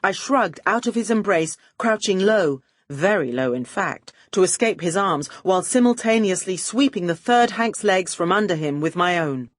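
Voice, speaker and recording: A woman's voice: fairly low pitch, lively intonation, normal speed, few pauses, dry recording, clean studio sound. A woman reading a first-person detective story as an audiobook narrator, with a wry, arch and poised delivery.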